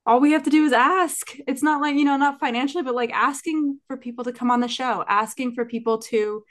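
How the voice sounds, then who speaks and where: A woman's voice: high-pitched, fairly even intonation, brisk. A woman co-hosting a podcast, chatting casually and enthusiastically. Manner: enthusiastic, upbeat. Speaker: podcast co-host.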